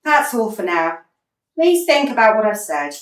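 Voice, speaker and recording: A woman's voice: mid-range voice, big pitch swings, normal speed, lightly acted. A woman acting a blunt, exasperated female football manager in a radio play, scolding and emphatic. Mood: critical, sardonic. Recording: roomy, distant microphone.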